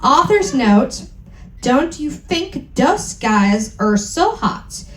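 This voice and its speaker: A woman performing a comedic dramatic reading on stage, with exaggerated emphasis, deliberate mispronunciations and joking asides. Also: sits high, big pitch swings. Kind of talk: comedic dramatic reading of bad fan fiction. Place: indoor event stage on a cruise. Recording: roomy live venue, faint background noise.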